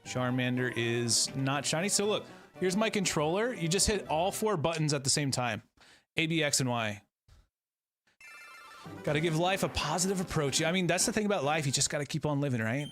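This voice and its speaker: A relaxed man in his thirties talks casually while playing on a live stream. His voice sits a little high, and he keeps up a chatty back-and-forth with his viewers over soft background music. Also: a little fast. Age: 30s. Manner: laid-back, chatty, greets and answers chat viewers. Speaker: game streamer.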